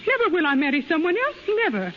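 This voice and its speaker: High, tender young wife. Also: female voice, wildly swooping pitch, fast talker, heavily acted. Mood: firm. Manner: earnest, simple broken English. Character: young Japanese wife, tender, faithful, stubbornly hopeful. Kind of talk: 1930s radio acting. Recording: muffled hissy 1930s radio broadcast.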